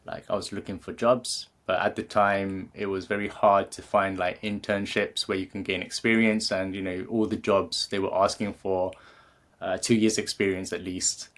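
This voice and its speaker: Low-voiced British man, hesitant. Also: flat delivery, moderate pace, fairly bright tone. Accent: British English. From England. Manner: hesitant, many fillers and restarts. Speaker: guest, creativity coach. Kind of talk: casual interview conversation.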